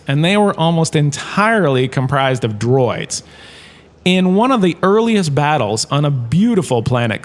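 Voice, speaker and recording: A man's voice: fairly high pitch, natural voice. A man playing a smug washed-up star who lectures as a history professor, conversational and wry, in a comedic audio drama. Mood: smug, casual. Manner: conversational, self-satisfied, wry asides.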